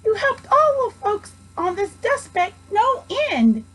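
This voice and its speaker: A woman voicing a tiny, grateful little person from a dust speck in a children's storybook, with a thin, high voice. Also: big pitch swings, slow, fairly bright tone, exaggerated voice. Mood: tearfully grateful. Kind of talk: children's storybook read aloud.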